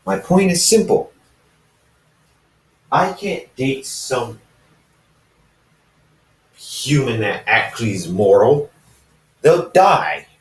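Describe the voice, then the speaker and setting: A man voicing an ancient, defensive, brooding immortal hybrid in a story, speaking haltingly. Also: medium pitch, very animated, pitched above the performer's own voice. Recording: roomy echo, distant microphone.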